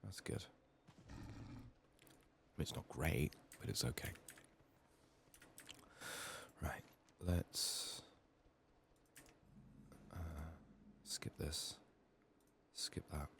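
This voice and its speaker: A soft-spoken man murmuring low-key ASMR game commentary, thinking aloud in a slightly sick, strained voice. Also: drawn-out words, creaky, pauses often. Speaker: ASMR gaming YouTuber. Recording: faint background noise, clicking.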